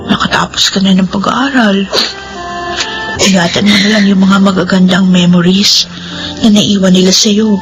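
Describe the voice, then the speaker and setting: An actress playing the heroine's caring best friend, speaking gently and consolingly. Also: female voice, mid-range voice, fairly even intonation, moderate pace, close to an everyday voice, poor audio. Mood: comforting. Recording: radio drama with music underneath.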